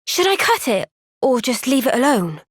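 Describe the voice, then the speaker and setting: An actress voicing a gentle, kind and steady young woman soldier in a game's cutscenes, with a Welsh accent and a soft-spoken, caring, thoughtful way of talking. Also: sits high, normal speed, slightly rough, no room sound, clean studio sound, full character voice. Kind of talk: video game cutscene dialogue. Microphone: close studio microphone.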